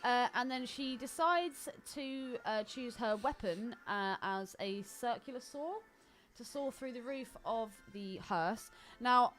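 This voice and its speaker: A woman's voice: a little high, slow, fairly bright tone. A woman co-hosting a film podcast, chatting casually in a wry, teasing manner. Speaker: podcast co-host. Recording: film score playing underneath. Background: film soundtrack music.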